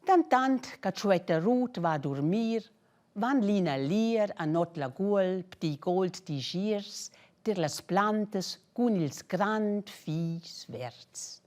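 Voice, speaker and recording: A woman narrating a children's story in an expressive storytelling style. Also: mid-range voice, very slow.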